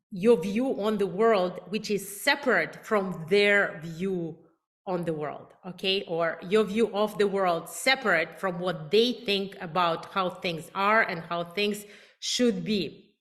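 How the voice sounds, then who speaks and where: A relationship coach speaks with a clear Russian accent, her voice sitting at a middle pitch for a woman. She talks with eager emphasis, selling her methods directly to the viewer. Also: slow. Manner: emphatic, enthusiastic, self-promoting. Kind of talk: direct-to-camera advice talk.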